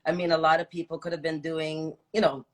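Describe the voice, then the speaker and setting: Encouraging, slightly low-voiced woman host. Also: little pitch movement, fairly quick. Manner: encouraging, affirming, repeats phrases. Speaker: host, interviewer. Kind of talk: casual live-stream interview hosting.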